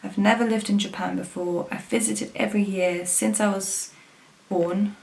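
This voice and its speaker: A woman's voice: mid-range voice, creaky, darkish tone. A woman, talking frankly and casually to camera, swearing now and then. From England. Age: 20s. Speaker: vlogger.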